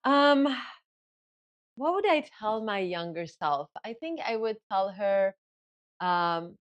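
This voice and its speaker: A woman whose first language is Farsi, a guest in an interview, explaining her method in lively bursts and acting out inner thoughts. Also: medium pitch, takes it slowly, lo-fi sound. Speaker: guest author and ghostwriting coach. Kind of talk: casual interview talk.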